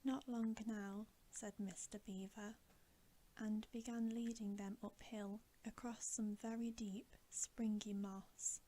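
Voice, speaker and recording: Slow whispering woman reading a bedtime story. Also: medium pitch, little pitch movement, a little hiss or hum, low-quality recording. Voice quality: soft, breathy whisper. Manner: slow, gentle, hushed delivery. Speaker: ASMR reader.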